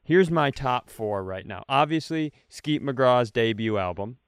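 A man's voice: medium pitch, lively intonation, unhurried, clear, resonant tone, no echo, studio quality. A man co-hosting a comedy podcast, riffing and telling stories in a casual, playful way. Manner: riffing, storytelling, playful bits. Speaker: podcast co-host.

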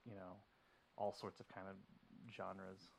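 A man from Texas, a podcast guest, with a low, gravelly voice. He talks haltingly, reaching for words with lots of "like" and "um", over a faint hiss. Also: monotone, rough recording. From Denton, Texas. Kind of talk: casual podcast chat.

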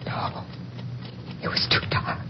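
A woman playing a frightened farm wife in a 1950s radio drama, speaking in a hushed, urgent, pleading way. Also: takes it slowly, noisy background, natural voice. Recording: muffled old radio recording, music behind.

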